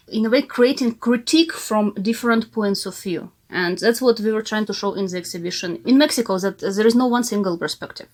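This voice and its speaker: A young Ukrainian woman with a Ukrainian accent is interviewed over a remote call. She is a native Russian speaker and searches for words, with many "uh" fillers and restarts. Her voice sits at a middle pitch. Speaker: guest, art historian and curator.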